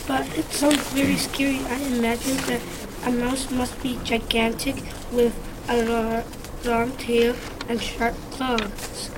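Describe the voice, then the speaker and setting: A woman's voice: on the high side, level tone, slow, background noise. A woman voicing a nervous elephant in a storybook reading, speaking in an urgent, breathless way. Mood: frightened. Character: nervous second elephant who panics.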